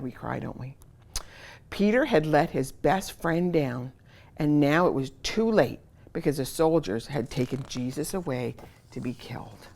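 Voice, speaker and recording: A woman's voice: deep voice, a little mellow. A woman hosting a children's story time, reading aloud expressively and putting questions to her young listeners. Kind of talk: animated picture-book read-aloud for children.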